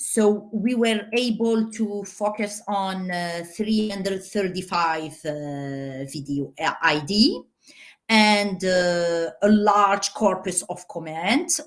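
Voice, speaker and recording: Lively, unhurried woman presenting research. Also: mid-range voice. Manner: lively, with many "so" and "uh" fillers. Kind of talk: conference talk.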